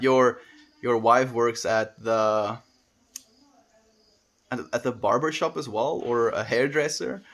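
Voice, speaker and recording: A man talks in an easygoing, wandering way, full of fillers as he chats with his stream audience. His voice sits at an ordinary middle pitch. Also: fairly bright tone. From South Africa. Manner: meandering, laid-back, many fillers. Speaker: livestreamer reading chat. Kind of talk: casual spiritual chat with viewers.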